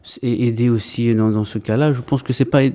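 A man's voice: medium pitch, fairly even intonation, fairly quick, dark tone. A man in his thirties, an Orthodox priest from Greece raised in Belgium, answering interview questions hesitantly with frequent fillers and restarts. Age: 30s.

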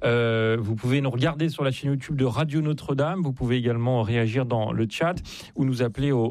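A man's voice: mid-range voice, a little mellow, no echo. A man hosting a radio talk show, chatty, with many fillers and repeated words. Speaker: radio host. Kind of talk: radio interview.

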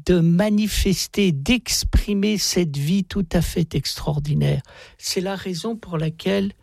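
A man hosting a radio programme, speaking in deliberate, emphatic and articulate phrases. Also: a little high, vocal fry, a little mellow, no echo, clean studio sound. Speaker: radio host. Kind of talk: radio monologue and interview.

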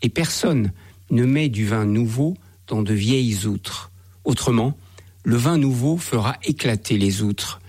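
A man reading the Gospel as a priest, in a measured, solemn manner. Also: medium pitch, a little mellow, slightly rough.

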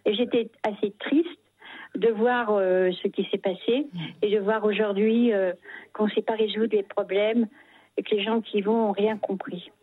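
An older woman from Paris calling in by phone, reminiscing in short, halting phrases. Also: mid-range voice, fairly even intonation, dry recording. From Paris, France. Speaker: phone-in caller. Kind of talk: personal testimony, reminiscing. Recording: muffled telephone line, husky sound.

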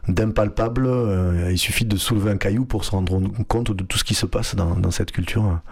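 A man's voice: on the low side, moderate pace, slightly rough. A young man who grew up with Flemish as his first language, answering interview questions with many fillers and restarts. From France (Hérault, Occitanie). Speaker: artistic director, guest. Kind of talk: radio interview.

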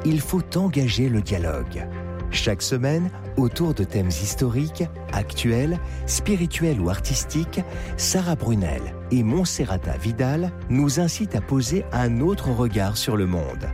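A man's voice: medium pitch, very animated, a little slow. A man reading a scripted radio promo as a programme announcer. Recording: radio promo over music. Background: music.

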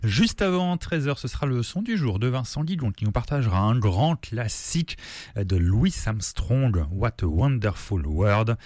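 Radio guy reading the links, bouncy lively intonation, dry studio mic. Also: medium pitch, measured pace, no echo. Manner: smooth, polished link delivery. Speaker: radio presenter. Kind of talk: scripted radio announcing.

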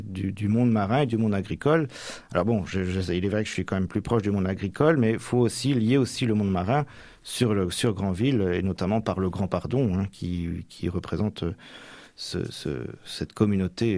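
A young man from Normandy, a deacon about to become a priest, answering radio interview questions with many pauses and restarts. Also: lowish voice, a little mellow, no echo. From Normandy, France. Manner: hesitant, many fillers and restarts. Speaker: deacon soon ordained priest.

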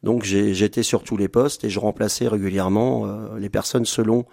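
Man, 50s, chef guest; low gravelly voice, hesitant and halting delivery. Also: steady tone, dark tone. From France. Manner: hesitant, many fillers and restarts. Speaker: restaurant owner and chef, guest. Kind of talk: casual radio interview.

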